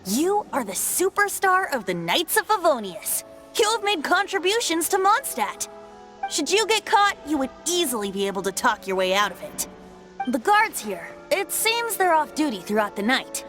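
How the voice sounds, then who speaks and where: A woman's voice: sits high, big pitch swings, normal speed, fairly bright tone. A woman playing a playful, theatrical young male bard who is a god in disguise, in a fantasy video game. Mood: sly, persuasive. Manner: playful, grandiose, cajoling. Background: background music.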